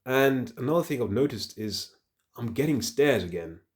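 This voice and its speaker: A man with a deep voice talks casually to his phone in a rambling, halting vlog, thinking aloud as he goes. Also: lively intonation. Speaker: fitness vlogger. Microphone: handheld phone.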